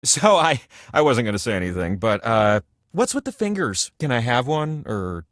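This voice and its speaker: A man's voice: medium pitch, big pitch swings, moderate pace, a bit bright, dry recording, clean studio sound. A man voicing a chatty, vain living wheel that hosts a comic video game show in a theatrical, quirky way. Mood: chatty, whimsical. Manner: theatrical, quirky, playfully self-important. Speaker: game host.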